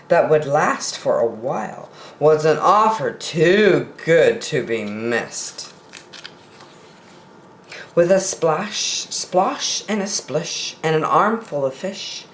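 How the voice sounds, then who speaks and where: A woman's voice: fairly low pitch, a little hiss or hum. A middle-aged woman reading picture books aloud expressively for young children, in a roomy, echoing home recording.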